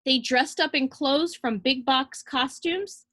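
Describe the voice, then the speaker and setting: Female, slightly high voice, preschool teacher. Animated read-aloud for little kids, simple direct phrasing. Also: slow pace. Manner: animated, addresses small children directly, simple explanatory phrasing. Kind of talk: read-aloud to young children with asides and questions.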